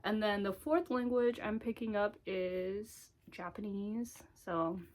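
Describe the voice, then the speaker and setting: A woman's voice: medium pitch, takes it slowly. Young American woman, informal and chatty. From United States. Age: 20s. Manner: informal, giggly, frequent "like" asides. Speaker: vlogger. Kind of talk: casual chatty introduction to camera. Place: small home office and craft room.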